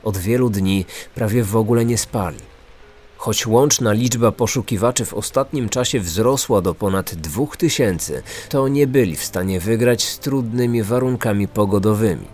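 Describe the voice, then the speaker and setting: Male podcast narrator, lowish voice, scripted true-crime read over faint music. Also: moderate pace, a little mellow.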